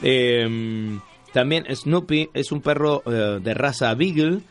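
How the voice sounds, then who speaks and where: An Argentine man hosts a relaxed magazine show with music playing under his voice. He talks casually with co-hosts, rambling through explanations and slipping in jokes. His pitch sits in the middle for a man. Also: slow pace. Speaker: radio show host.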